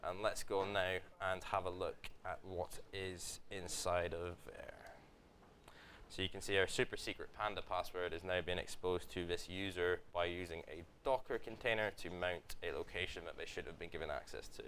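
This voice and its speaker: A man's voice: sits low, normal speed, slight background noise. A young man presenting a hands-on tech workshop to a live audience, talking through each step with fillers. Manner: steady step-by-step explaining with frequent fillers. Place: office event room with live audience.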